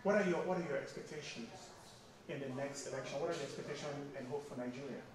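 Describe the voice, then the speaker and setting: A man's voice: mid-range voice, quick pace, recorded from a distance, a crowd in the background. A man interviewing people at an event, asking friendly and upbeat questions. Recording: very echoey hall, voice from off-mic, noise behind.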